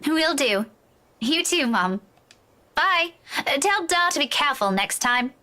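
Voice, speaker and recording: A woman's voice: high-pitched, animated, unhurried, sharp tone, full character voice. A woman acting as a dutiful young adult daughter in a video game, reassuring, easygoing and lightly playful. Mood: cheerful.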